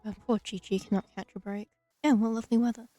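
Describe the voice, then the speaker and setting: A woman's voice: fairly high pitch, fairly even intonation, quick pace, mellow, no room sound, soft background music. A woman giving casual, wry commentary as she plays through a video game. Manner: wry, dry remarks. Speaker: gaming streamer. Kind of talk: casual let's play commentary.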